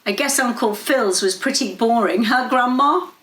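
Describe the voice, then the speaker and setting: A woman's voice: on the high side, normal speed, fairly bright tone, distant mic, close to an everyday voice, poor audio. A woman voicing an earnest, uneasy thirteen-year-old boy in a story, speaking tentatively. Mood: hopeful, uneasy.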